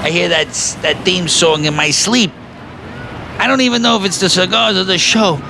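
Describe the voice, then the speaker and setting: Man acting gravelly, sardonic New construction worker. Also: high-pitched, moderate pace. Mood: weary, sour. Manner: sardonic, needling. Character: blunt, wisecracking, jaded male construction worker. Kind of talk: naturalistic audio play dialogue. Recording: noisy city street with traffic. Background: urban street ambience, vehicles.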